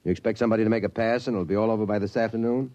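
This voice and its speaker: A man as a mellow, low-voiced genial father. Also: fairly even intonation, racing, lightly acted. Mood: amused, musing. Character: genial, easygoing small-town father. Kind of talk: 1950s radio drama acting. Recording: muffled, dry vintage radio recording.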